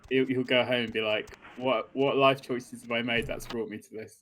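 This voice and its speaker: A man giving relaxed, conversational commentary on a video game. He banters wryly in a mid-pitched voice. The recording has clear background noise. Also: moderate pace, low-quality recording. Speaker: gameplay co-host.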